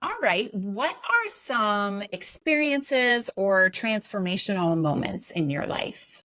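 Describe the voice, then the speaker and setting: A woman works as an interview host, speaking in a conversational, informal style. She is affirming toward her guest, and her mid-pitched voice comes through dry, with hardly any room sound. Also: big pitch swings, slow pace, a bit bright, no room sound.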